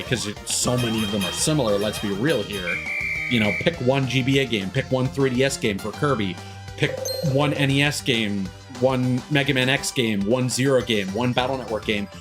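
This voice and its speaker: A man streaming games, chatting casually and giving opinions in halting phrases with emphatic bursts. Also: mid-range voice. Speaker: game streamer. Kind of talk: casual opinionated rambling on a livestream. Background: music behind the voice.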